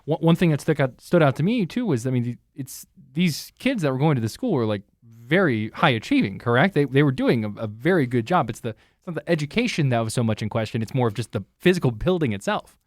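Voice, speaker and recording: A man's voice: medium pitch, sing-song, fast, hardly any pauses, dry recording, clean studio sound. A man hosting a news podcast in a conversational interview style.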